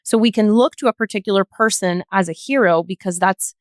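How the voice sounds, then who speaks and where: Woman, mid-pitched, dry close sound. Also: a little fast, dry recording. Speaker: podcast guest. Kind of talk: thoughtful discussion.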